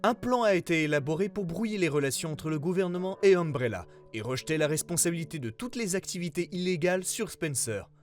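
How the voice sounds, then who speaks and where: A man playing a government investigator who reads out a formal case report in a serious, measured way, as scripted narration. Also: fairly high pitch, lively intonation, soft background music, close to an everyday voice. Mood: grave, formal.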